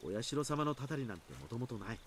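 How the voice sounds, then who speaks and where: A male actor voices an easygoing adult man from a visual novel, reassuring and wordy. He rattles along very quickly with a lively, mobile pitch, over faint background ambience. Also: slight background noise, lightly acted. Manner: explanatory, reassuring, long-winded. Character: easygoing adult man calmly explaining away village curse rumours. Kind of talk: Japanese visual novel voice acting.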